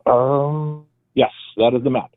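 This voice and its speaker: Emphatic caller with a Boston accent. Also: male voice, mid-range voice, steady tone, slow, dry recording. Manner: rambling, emphatic explainer. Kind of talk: call-in radio talk. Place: home, with his wife beside him. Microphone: speakerphone, then handset. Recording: dry phone line.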